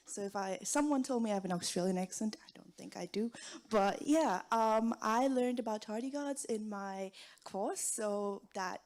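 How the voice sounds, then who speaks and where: A woman's voice: medium pitch, a little mellow. A woman from Malaysia giving a chatty self-introduction as an incoming student. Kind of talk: short self-introduction at a ceremony.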